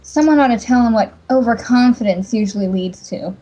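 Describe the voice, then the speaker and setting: Woman voicing a mellow, high-pitched skeptical game heroine. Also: fairly quick, dull audio, lightly acted. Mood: skeptical, puzzled. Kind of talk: amateur visual novel fandub acting.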